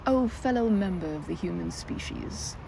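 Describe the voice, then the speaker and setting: Woman acting a timid, stammering, animated young chatterbox. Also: fairly low pitch, moderate pace, creaky, a little hiss or hum, rough recording. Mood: awkward, earnest. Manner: stammering, repeats words, chattering, quirky formal phrasing. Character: timid, rambling, nervous young woman, awkwardly wordy park regular. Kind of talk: ASMR roleplay, one-sided audio drama.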